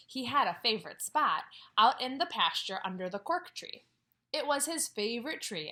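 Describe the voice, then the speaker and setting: A woman teaching kindergarten from home, reading a story aloud and asking questions she then answers. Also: mid-range voice. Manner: animated, asks questions and answers them herself. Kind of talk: read-aloud lesson for young children.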